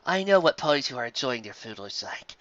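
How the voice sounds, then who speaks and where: A calm female pony friend, as a storybook reader voices her, who sounds reassuring and steady. Her voice is bright and lively, and the recording is dull and dry. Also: medium pitch, brisk, no echo.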